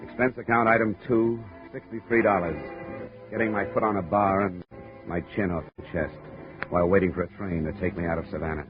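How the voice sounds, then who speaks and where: A man's voice: deep voice, fairly even intonation, a little fast, lightly acted. An American actor playing a tough, world-weary male insurance investigator narrating his case tersely and wryly in 1950s radio drama. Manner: terse, wry, hardboiled. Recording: muffled 1950 radio recording, music behind.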